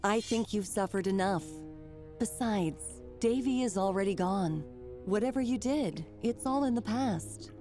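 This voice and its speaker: A woman's voice: medium pitch, measured pace, warm tone, soft background music, natural voice. An actress voicing a brave, compassionate mother in a video game adventure, earnest and dramatic. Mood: reassuring. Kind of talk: video game character acting.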